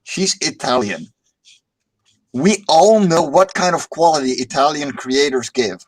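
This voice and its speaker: A man's voice: fairly high pitch, expressive, measured pace, low-quality recording. A Dutch man hosting a casual livestream chat, upbeat and joking, often repeating words as he starts a sentence. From Netherlands. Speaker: stream host.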